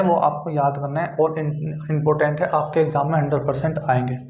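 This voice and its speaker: A man's voice: a little high, a little mellow. North Indian man teaching in an echoey room. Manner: repetitive, with frequent check-in tags. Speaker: exam coaching teacher. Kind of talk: informal instructional lecture. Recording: dull, echoey room recording.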